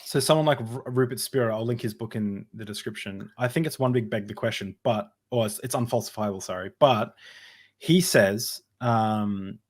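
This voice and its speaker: A man's voice: on the low side, moderate pace. Male podcast host, casual chat, rambling, restarts sentences, jokey. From Australia. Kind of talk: casual conversation over drinks.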